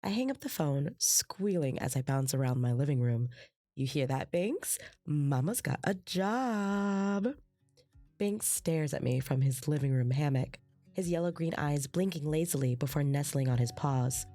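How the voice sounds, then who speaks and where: A woman's voice: sits low, animated, measured pace, warm tone, no room sound, faint music behind. A woman playing an earnest young woman who narrates her own story expressively in an audio drama. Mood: excited, giddy. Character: bubbly, anxious young woman narrating her new job.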